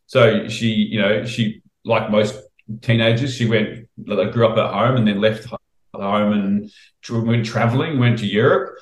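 A man's voice: lowish voice, fairly even intonation, unhurried, reverberant, low-quality recording. A middle-aged man with a New Zealand accent, a chef chatting casually in an interview, rambling with many fillers and restarts. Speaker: chef guest.

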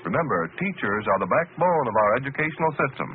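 A man's voice: mid-range voice, expressive, quick pace. A man reading scripted announcements in a 1940s radio style, earnest and emphatic. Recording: tinny, noisy old radio recording.

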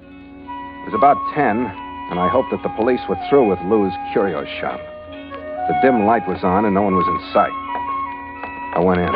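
A man's voice: fairly low pitch, expressive, moderate pace, long pauses, natural voice. A man acting as a hardboiled American adventurer in a 1940s radio drama. He tells his own story in terse, sardonic lines. The sound is muffled and old, with prominent music underneath. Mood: tense. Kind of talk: 1940s radio detective acting, first-person narration.

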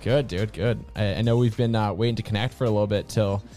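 A low-voiced man hosts a podcast in an informal, enthusiastic way, full of casual fillers as he interviews his guest. Faint music plays behind him. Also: steady tone, fast talker, dark tone. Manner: enthusiastic and informal, says "dude" and "like" often. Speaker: podcast host.

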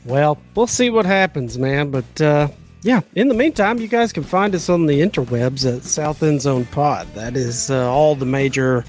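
A man's voice: a little high, animated, moderate pace, darkish tone, soft background music. A man co-hosting a sports betting podcast, laid-back and casually swearing, a bit under the weather. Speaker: podcast co-host.

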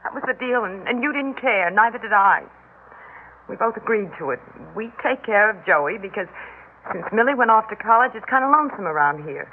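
A woman's voice: medium pitch, lively intonation, brisk, close to an everyday voice. An actress playing a practical, weary middle-aged foster mother in an old radio drama, earnest and placating, with hesitant phrasing. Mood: resigned, pleading. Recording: narrow-band old radio, faint noise.

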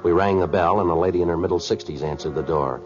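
An actor playing a stoic, courteous police detective in a 1950s radio drama, narrating and questioning in a terse, deadpan way. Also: male voice, deep voice, fast talker, lightly acted. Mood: flat, factual. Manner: deadpan, terse, matter-of-fact. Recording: muffled vintage radio recording with music.

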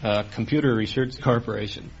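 A man's voice: mid-range voice, fairly quick, husky. A man answering interview questions as a contestant, hesitant and matter-of-fact. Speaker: quiz contestant, computer designer. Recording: dull old radio broadcast, faint noise.

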